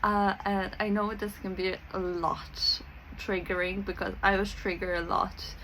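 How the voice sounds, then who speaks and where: A woman's voice: mid-range voice, little pitch movement, measured pace, a bit bright, slight background noise, poor audio. A woman from Serbia with a Serbian accent, talking casually to camera as a spiritual coach, chatty with many fillers and frequent laughs.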